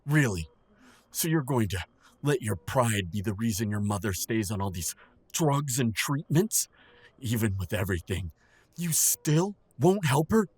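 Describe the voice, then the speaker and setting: A man voices a resentful middle-aged father in an audio roleplay. His voice is lowish and quite husky. He speaks in a stern, self-righteous way, laying on guilt and blame. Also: big pitch swings, measured pace, a little mellow, no room sound, full character voice. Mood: indignant. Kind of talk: audio roleplay drama acting.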